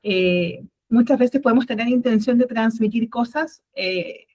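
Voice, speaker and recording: A woman's voice: on the high side, a little slow, a little mellow. A woman hosting an online talk on a video call, thanking the guest and audience in a loose, friendly wrap-up. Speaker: webinar host, moderator.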